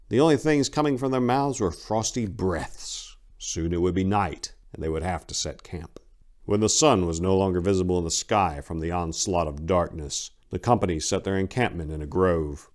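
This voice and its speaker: A man with a low-pitched voice works as a storyteller. His delivery is measured, expressive and dramatic, as in scripted narration.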